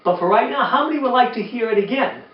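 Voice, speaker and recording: An older man hosting a children's storytime, speaking expressively to young listeners with drawn-out emphasis. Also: extremely high pitch, expressive. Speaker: children's storytime host and reader, former teacher. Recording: roomy, distant, dull sound with faint noise.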